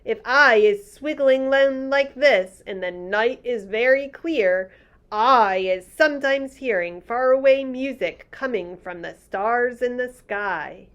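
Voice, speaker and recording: Friendly old male giant put on by a woman, earnest, tangled grammar, storybook, unhurried. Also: fairly high pitch, a bit bright, highs cut off, voice pushed up. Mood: confiding. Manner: muddled grammar, earnest and proud.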